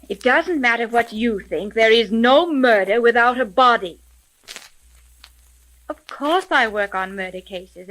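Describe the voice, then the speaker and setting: A woman's voice: fairly high pitch, bright, forceful tone. A young woman playing a self-assured, businesslike female private detective in a 1930s radio drama. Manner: crisp, firm, businesslike. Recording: noisy vintage 1930s radio recording.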